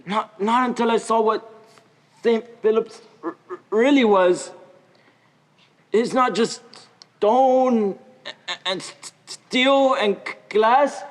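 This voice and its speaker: A student actor playing a gentle, earnest, deformed young man who stammers and speaks haltingly in a stage play. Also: mid-range voice, lightly acted. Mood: reverent, earnest. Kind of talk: high school stage play acting. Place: school theater stage. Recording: echoey theater hall.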